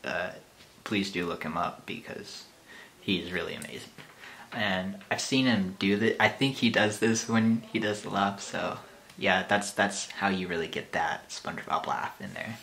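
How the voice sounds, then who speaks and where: A man's voice: low-pitched, a little slow, creaky, a bit bright. A young man casually chatting to camera in a rambling, self-deprecating way, in a small bedroom. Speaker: YouTuber. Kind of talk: casual vlog chat.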